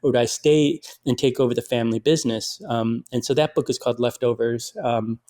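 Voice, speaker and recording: A middle-aged man from Detroit, a writer and filmmaker, chatting in an interview as an animated storyteller with lots of fillers and restarts. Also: medium pitch, a little fast. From Detroit, USA. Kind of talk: conversational interview.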